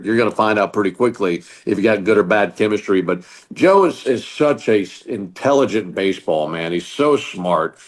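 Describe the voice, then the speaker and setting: A middle-aged man from Mississippi with a Southern American accent and a deep voice, a sports broadcaster giving earnest, reflective answers in an interview. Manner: earnest, reflective, some stammered restarts. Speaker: baseball broadcaster, guest.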